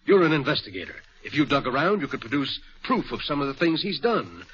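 A man's voice: a little high, very animated, fast talker, fairly bright tone. An insistent worried father. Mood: earnest. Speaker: client. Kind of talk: 1940s radio drama acting. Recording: dull old radio broadcast.

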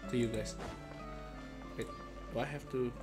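A man's voice: medium pitch, fairly even intonation, moderate pace. A man giving casual live gameplay commentary, playfully muttering and breaking into sudden exclamations. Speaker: game streamer. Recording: game music faintly behind.

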